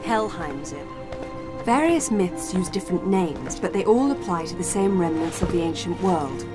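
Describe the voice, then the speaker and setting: Game heroine woman actor: young British archaeologist, lowish voice, clipped and composed, music underneath. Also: expressive, normal speed, lightly acted. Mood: focused, analytical. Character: determined, scholarly adventurous young woman archaeologist. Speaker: video game lead character. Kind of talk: video game cutscene acting.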